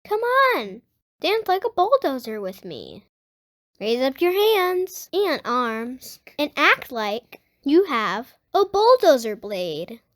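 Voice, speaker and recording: High-pitched child reading a storybook, very animated. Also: slow. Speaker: host of a children's read-aloud book channel. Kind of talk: storybook read-aloud with enthusiastic channel intro and sign-off.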